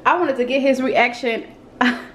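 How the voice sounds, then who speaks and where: A woman's voice: fairly high pitch, moderate pace, a bit bright, a little hiss or hum. A young woman vlogging as she cooks in her home kitchen, chatting casually and calling her viewers y'all. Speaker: cooking vlogger. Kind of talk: casual cooking chat. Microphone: camera on tripod and phone.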